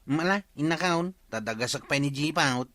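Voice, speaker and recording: An actor playing an earnest, naive, lovestruck single villager in a comedy radio drama. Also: male voice, fairly high pitch, lively intonation, moderate pace, bright, forceful tone, no echo. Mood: dreamy, hopeful.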